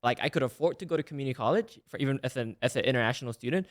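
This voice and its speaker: A young man in his twenties, from Malaysia, talks through a casual interview at a quick clip. He keeps a steady mid-range voice and fills his talk with "like" and "right?" as he explains himself. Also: mid-range voice. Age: 20s. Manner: fast, eager talk with frequent "like" and "right?". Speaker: guest.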